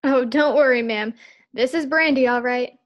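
Sitcom maid, female actor: high voice, cheeky, good-natured, deferential, vintage radio style. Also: normal speed, dull audio, lightly acted. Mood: assuring. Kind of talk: 1940s radio sitcom acting.

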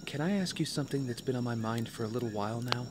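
A man's voice: medium pitch, fast, natural voice. An actor playing an earnest, self-doubting young man in training, conversational and a little hesitant, in a naturalistic audio drama. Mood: curious, careful. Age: young adult. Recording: clear cricket and outdoor ambience noise.

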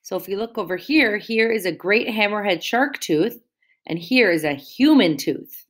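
A woman's voice: mid-range voice, animated, normal speed. A woman teacher reading a picture book aloud to young children, explaining words and asking them questions in a sing-song way.

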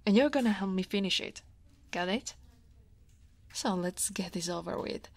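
A woman from Italy voicing a guarded but teasing female dragon office senior in a soft, breathy ASMR roleplay. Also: mid-range voice, expressive, moderate pace. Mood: teasing, flustered. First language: Italian. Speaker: voice actor.

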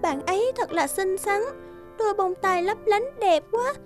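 Kind little orphan, wistful, very high child's voice, music underneath. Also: female voice, lively intonation, measured pace. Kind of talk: children's cartoon dialogue.

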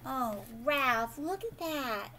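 An American woman reads a children's story in a high voice. She takes her time and is expressive, often turning to the listener with questions. It is recorded at home with faint background noise. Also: takes it slowly. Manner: animated, prompting listeners with questions. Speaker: teacher reading a picture book aloud to children. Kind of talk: expressive children's read-aloud with asides to the listener. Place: living room at home, indoors.

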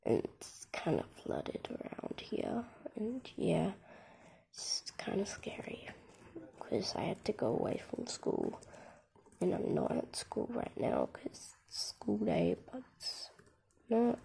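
A young girl with a croaky voice from a cold, softly rambling through a tour of her game with lots of "like" and "um". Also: medium pitch, huge pitch swings, takes it slowly. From Australia. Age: child. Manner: soft, half-whispered, rambling with many "like" and "um". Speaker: gaming channel host. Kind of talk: casual game tour commentary. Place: at home, filming a laptop screen. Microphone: phone camera.

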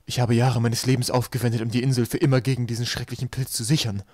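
A man's voice: medium pitch, level tone, fast, a little mellow, no room sound, studio quality, natural voice. A man voicing an authoritative, self-assured island leader who speaks in an emphatic, insistent, indignant way. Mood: shocked, indignant.

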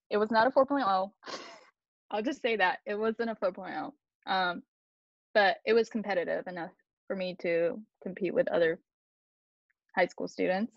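A woman's voice: mid-range voice, no room sound. Young woman on a dull video call. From grew up in Japan and Taiwan. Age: young adult. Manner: chatty, self-deprecating, many fillers. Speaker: college student on alumni panel. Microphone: laptop mic on a video call.

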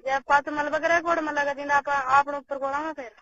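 A woman with a Rajasthani accent and a very high, piercingly bright voice talks casually on a private phone call. The recording is dull and lo-fi, with some noise behind her. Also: flat delivery, normal speed. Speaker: caller.

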